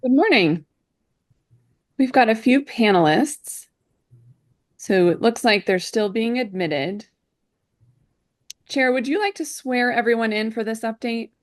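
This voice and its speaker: A woman's voice: fairly high pitch, lively intonation, unhurried, pauses often, dry recording. Woman in formal meeting, dry sound.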